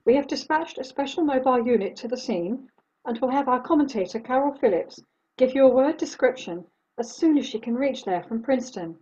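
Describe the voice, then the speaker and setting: A woman plays a serious female newsreader with a fairly high, soft-toned voice and a slightly husky grain. She reads in a formal, measured manner like an old-time radio bulletin. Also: lively intonation, muffled recording. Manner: formal, measured, scripted reading.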